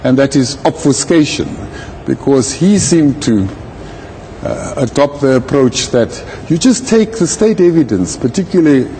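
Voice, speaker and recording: A man speaking as a legal commentator in an interview, with a measured, deliberate manner and formal wording. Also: medium pitch, lively intonation, warm tone, a little hiss or hum, rough recording. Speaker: legal commentator, retired judge. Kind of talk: live interview commentary on a court case.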